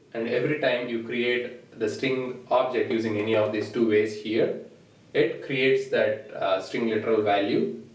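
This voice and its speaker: Man teaching in an echoey room. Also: mid-range voice. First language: Telugu. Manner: steady, explanatory, points at the board. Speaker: programming instructor. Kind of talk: whiteboard tutorial lecture. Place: small indoor room at a whiteboard. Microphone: camera mic at a distance. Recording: roomy echo, voice from a distance.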